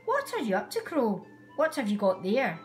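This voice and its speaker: A bossy little female sparrow from a storybook, acted out by a woman reader. She is hot-tempered and indignant, squawking her demands in a high voice that swoops around, over music. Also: huge pitch swings, normal speed. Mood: eager, demanding.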